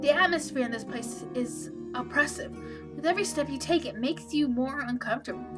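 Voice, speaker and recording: A woman's voice: sits high, brisk, over music. A woman hosting a let's play, reading a visual novel aloud and adding wry, opinionated asides.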